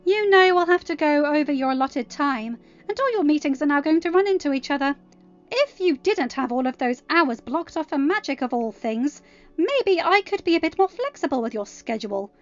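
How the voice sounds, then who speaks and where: A woman plays a snooty female handler from a fantasy audio story, high and huffy. She speaks with prim condescension, like one used to scolding. The audio is a little dull, with music behind it. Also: animated, normal speed. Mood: scornful scolding.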